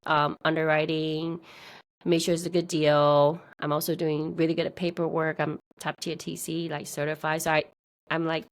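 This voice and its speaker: Woman, soft voice, halting with fillers, slightly low pitch. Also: level tone. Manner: halting, with many fillers and restarts. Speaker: interview guest, meetup organizer. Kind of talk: casual interview conversation.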